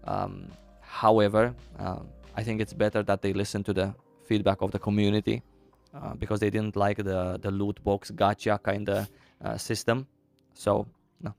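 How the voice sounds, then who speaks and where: A young Romanian-accented man talks to his stream chat, relaxed and unhurried, his low voice full of gravelly fry. He thinks aloud between replies, and faint game music plays behind him. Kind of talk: casual live-stream chat with viewers.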